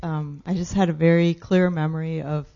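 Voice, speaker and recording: An older woman sharing a memory with a congregation in a wistful, soft-spoken way. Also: sits low, fairly even intonation, normal speed. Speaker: congregation member. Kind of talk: casual sharing. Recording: dull lo-fi room recording.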